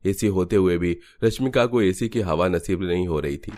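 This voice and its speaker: A man's voice: sits low, normal speed, darkish tone. A man narrating a scripted cartoon family story.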